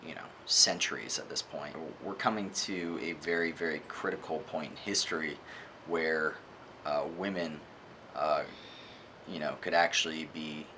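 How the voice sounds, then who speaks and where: A man giving a casual, opinionated book review monologue in an earnest, emphatic way, often halting and posing rhetorical questions. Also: low voice, sharp tone, slight background noise.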